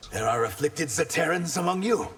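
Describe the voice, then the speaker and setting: An actor playing an earnest, guarded young shapeshifting warrior in a video game. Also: male voice, fairly high pitch, expressive, a little fast, fairly bright tone, slight background noise. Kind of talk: video game character dialogue.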